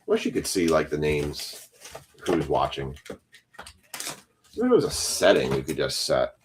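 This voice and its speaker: Low voiced guy rambling on his card break stream, little bit of hiss behind him. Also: wildly swooping pitch, slow pace. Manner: rambling, chatty, talks to few viewers. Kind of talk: casual live-stream chat while opening packs.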